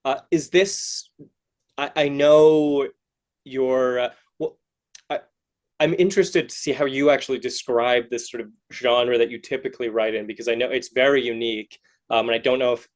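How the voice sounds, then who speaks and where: A man chats as an interviewer in a mid-pitched voice. He talks casually and haltingly, often starting a question over and rewording it midway. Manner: halting, rephrasing questions as they go. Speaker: podcast host, interviewer.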